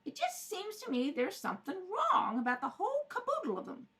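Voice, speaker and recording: A bright, swooping female voice acted by a woman as a nosy neighbor in an amateur radio play. She is fussy, folksy and insistent, speaking in homespun bad grammar. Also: extremely high pitch, huge pitch swings, fairly quick, exaggerated voice. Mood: indignant, insistent. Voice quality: folksy, ungrammatical speech. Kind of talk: amateur radio drama read-through.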